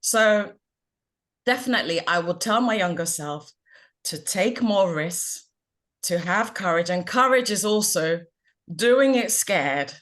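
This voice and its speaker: A woman's voice: medium pitch, lively intonation, takes it slowly, fairly bright tone. A woman from Ghana, a confidence coach, speaking earnestly and reflectively as a guest on a video call. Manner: reflective, earnest and upbeat, laughs at herself. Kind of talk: guest on an online panel chat.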